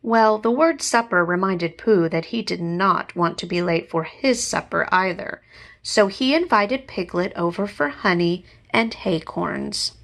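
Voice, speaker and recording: Slow, expressive woman storyteller, slightly creaky. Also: lowish voice. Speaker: teacher reading aloud. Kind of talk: expressive children's storybook narration.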